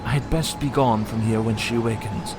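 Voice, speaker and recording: A man playing a terse, mysterious stranger who speaks gravely and cryptically in a comic book dub. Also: mid-range voice, steady tone, fairly quick, noisy background, close to an everyday voice. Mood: cryptic.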